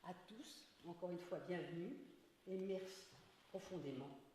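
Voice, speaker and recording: A woman giving a formal welcome address as the academy's secretary, slow and halting as she weighs her words. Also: lowish voice, mellow. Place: large ceremonial hall. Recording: echoey hall with faint noise.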